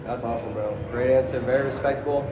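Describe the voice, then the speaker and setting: A man's voice: mid-range voice, fairly even intonation, fast. A man interviewing a fighter as a journalist, hyped and casual, often calling him bro. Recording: echoey arena with noise.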